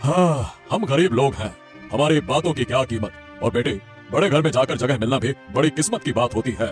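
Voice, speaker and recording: A man as a meek poor father, medium-high pitch. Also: expressive, a little fast, soft background music, natural voice. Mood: resigned, pleading. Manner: pleading, soothing, submissive. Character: meek, resigned, poor middle-aged father. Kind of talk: Hindi cartoon story dialogue.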